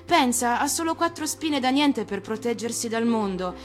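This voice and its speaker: A woman voicing a tender, wistful little boy in a storybook, earnest and halting, often repeating words. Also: on the high side, animated, soft background music, pitched above the performer's own voice, lightly acted. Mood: tender, worried. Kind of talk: dramatic storybook reading.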